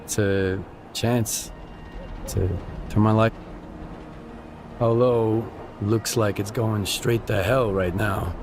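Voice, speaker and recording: An actor playing a terse, determined mercenary loner in a video game, speaking bluntly in few words. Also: male voice, lowish voice, fairly even intonation, slow, long pauses, background noise. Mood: sober. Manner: laconic, blunt. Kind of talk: video game cutscene dialogue.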